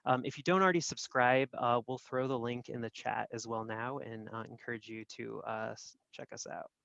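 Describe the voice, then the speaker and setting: A man's voice: mid-range voice, steady tone, normal speed, gravelly, a bit bright, no room sound, lo-fi sound. A man co-hosting an online event in a casual, filler-heavy way over a video call. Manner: hesitant, filler-heavy delivery. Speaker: event co-host. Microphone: video call microphone.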